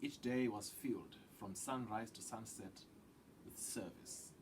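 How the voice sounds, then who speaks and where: A man reading a children's picture book aloud with deliberate, dramatic phrasing that drops into hushed tones. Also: on the low side, background noise. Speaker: read-aloud storyteller.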